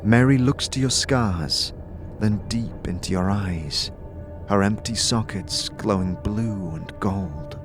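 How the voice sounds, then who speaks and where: A man narrates a dark fantasy audio drama in the second person. His delivery is slow and deliberate and his voice sits somewhat low, with music clearly audible underneath. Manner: measured, dramatic storytelling.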